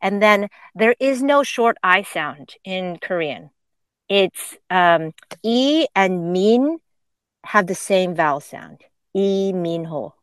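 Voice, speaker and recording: Woman podcast co-host, smooth clear voice, unhurried, casual chat, dry close sound. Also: mid-range voice, expressive, no echo. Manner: chatty, laughs often, explains pronunciation with fillers.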